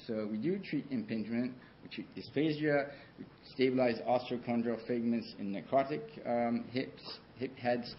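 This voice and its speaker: Surgeon talking at a podium. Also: male voice, mid-range voice. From Switzerland. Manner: conversational, many fillers and restarts. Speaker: orthopedic surgeon. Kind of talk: conference talk with slides. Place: large conference hall. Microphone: podium microphone. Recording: dull hall recording, faint hiss.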